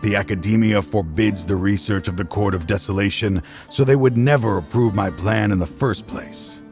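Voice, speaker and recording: Over clear background music, an actor voices a weary middle-aged father with a very low voice. He is a grave, remorseful official in a game drama, resolute in his halting delivery. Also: a little mellow, natural voice. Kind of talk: dramatic video game dialogue.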